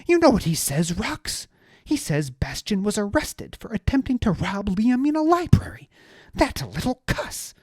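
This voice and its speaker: A narrator voicing a pompous, petulant man who is easily outraged and talks in shrill, indignant bursts. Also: sing-song, normal speed, darkish tone, husky, no echo, clean studio sound. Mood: ranting.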